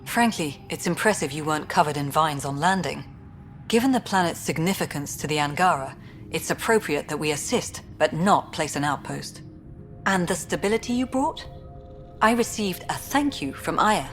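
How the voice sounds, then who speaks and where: A no-nonsense colony director lady in a video game, deep for a woman, candid n official, bit of music under. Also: lively intonation, normal speed. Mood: wry, impressed. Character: brisk, pragmatic female colonial director, guardedly approving. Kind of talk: video game character acting.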